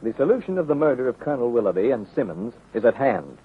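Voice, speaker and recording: A man's voice: mid-range voice, big pitch swings, quick pace, faint music behind. A man narrating as a 1930s radio announcer, reading a script in a dramatic, grandiose way. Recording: muffled, band-limited 1930s radio recording.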